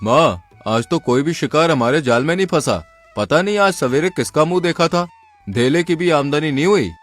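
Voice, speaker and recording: A man's voice: on the high side, expressive, normal speed, faint music behind, natural voice. A man voicing a boastful, scheming village swindler in a Hindi folk tale, in a theatrical manner. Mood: glum.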